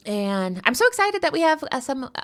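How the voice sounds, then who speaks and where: A woman's voice: sits high, very animated, fairly quick, fairly bright tone. A woman from the United States giving casual, playful live commentary on a card tournament, chatting and rambling into asides.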